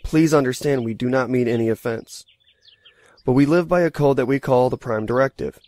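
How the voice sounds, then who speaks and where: A man playing a calm, tactful starship officer leading a field mission, matter-of-fact and careful, in a science fiction audio drama. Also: medium pitch, brisk, dry recording, lightly acted.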